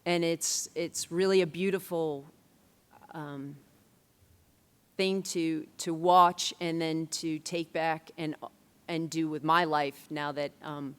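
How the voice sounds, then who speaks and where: Woman director, panel guest; low, smooth voice, halting and reflective. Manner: thoughtful, many fillers and restarts. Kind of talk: panel discussion answers. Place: convention panel hall.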